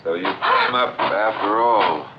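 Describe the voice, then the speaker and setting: An actor playing a grimly resigned, secretive man with murder behind him, speaking tersely and gravely in an old-time radio thriller. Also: on the low side, slow pace. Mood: cold menace. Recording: muffled, noisy old radio recording.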